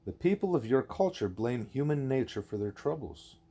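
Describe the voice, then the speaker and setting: A man voicing a wise, patient male teacher in an audiobook, speaking in a deliberate, formal, explanatory way. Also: mid-range voice, very animated, warm tone. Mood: grave, explanatory. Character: wise, patient teacher creature Ishmael, male, measured and didactic.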